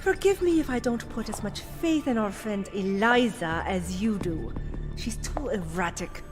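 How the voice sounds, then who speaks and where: A woman's voice: mid-range voice, expressive, a little slow, close to an everyday voice. An actress playing a haughty, scheming corporate villainess in a video game, theatrical in her delivery. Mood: imperious, irritated. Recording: music under game dialogue.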